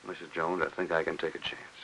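An actor in his sixties with an American accent playing a courteous, rule-bound post office official, measured and formal, in a fifties film. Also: extremely low pitch, level tone, sharp tone, breathy edge, full character voice, low-quality recording. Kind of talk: 1950s film acting. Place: office set. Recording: hissy old 1950s film soundtrack.

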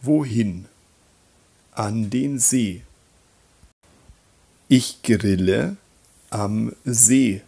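A man teaching German grammar in a slow scripted voice-over, reading example sentences and repeating them. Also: lowish voice, vocal fry, mellow, breathy edge.

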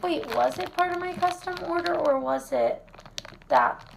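A hoarse woman chatting casually through a product review vlog in her home kitchen, recorded on a phone camera set on the table. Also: high-pitched, moderate pace, noisy background. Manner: chatty, relaxed, many fillers. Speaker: wax melt reviewer.

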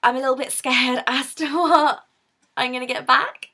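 A bubbly young British woman chats straight into her webcam from her bedroom. Her voice sits a little high for a woman, and she laughs often as she talks. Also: normal speed, bright, forceful tone. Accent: British English. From United Kingdom. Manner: bubbly and chatty, laughs often, gestures with hands. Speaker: vlogger raising money for a charity. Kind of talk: casual direct-to-camera appeal. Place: bedroom, indoors. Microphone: webcam in front of her.

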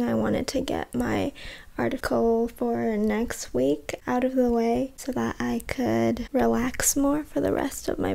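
A woman's voice: fairly high pitch, vocal fry, dark tone. A young woman with a slight lisp chatting casually in a reading vlog. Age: young adult. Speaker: book vlogger. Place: bedroom, indoors. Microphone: desktop or handheld condenser mic, close.

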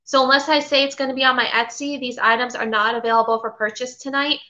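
A woman's voice: sits high, steady tone, fast talker, pushed and bright. Chatty woman on a dull webcam. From Florida. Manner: chatty, rambling, reads out viewer comments. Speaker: vintage seller hosting a live haul. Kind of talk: casual live-stream chat. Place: home kitchen, indoors. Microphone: webcam at desk. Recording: slightly dull lo-fi webcam audio.

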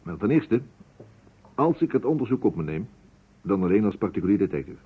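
An actor playing a courteous, precise private detective in a vintage radio play, musing deliberately and dropping in French phrases. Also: male voice, lowish voice, very animated, husky. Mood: courteous, firm. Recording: muffled phone-like old radio recording.

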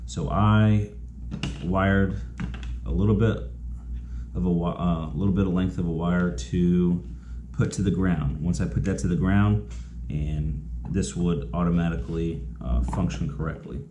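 A man's voice: deep voice, steady tone, measured pace. A young man explaining a repair in a casual, rambling way, with many fillers and restarts, filmed in a garage on a camera mic a few feet away. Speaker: small business owner demonstrating a repair. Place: garage, indoors. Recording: echoey garage with faint noise.

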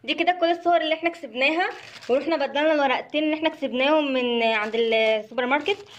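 A woman's voice: sits high, racing, muffled recording. A woman with an Egyptian accent casually narrating an unboxing for children. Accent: Egyptian Arabic. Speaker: host of a children's toy channel.

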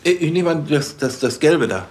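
A man's voice: fairly high pitch, lively intonation, normal speed, a little hiss or hum, lightly acted. An actor playing an officious, easily exasperated police superior, stammering and fussy in his speech. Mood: flustered, awkward. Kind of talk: TV crime comedy dialogue.

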